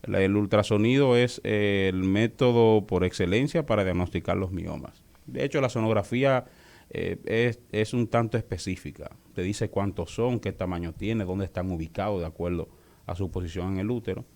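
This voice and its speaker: A man with a fairly low voice speaks as a doctor being interviewed. He explains in a didactic way, with halting phrasing and repeated words as he searches for the next term. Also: vocal fry, a little mellow.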